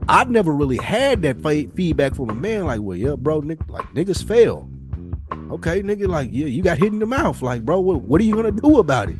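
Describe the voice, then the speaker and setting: A man's voice: fairly high pitch, very animated, brisk, over music. A man hosting a podcast, talking casually and reflectively in an earnest, slangy, rambling way. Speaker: podcast host.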